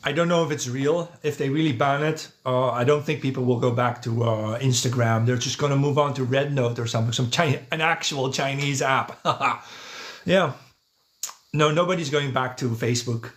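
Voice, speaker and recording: Man talking casually on a livestream. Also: mid-range voice. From Netherlands. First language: Dutch. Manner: conversational, thinking aloud, checking with "right?" and "yeah". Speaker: podcast host, livestreamer. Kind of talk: casual livestream monologue, answering chat comments.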